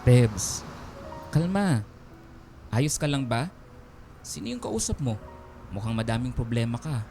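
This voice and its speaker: A man's voice: medium pitch, sing-song, a little slow, over music. A man playing a devoted, caring young Filipino man in a radio drama, earnest and polite. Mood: soft, tender. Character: devoted, caring young male nurse, respectful but firm.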